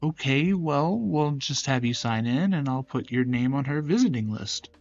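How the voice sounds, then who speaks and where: A man's voice: medium pitch, lively intonation, moderate pace, a little mellow, no echo, highs cut off, soft background music, natural voice. A man voicing a friendly, chatty female receptionist in a visual novel. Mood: friendly, surprised.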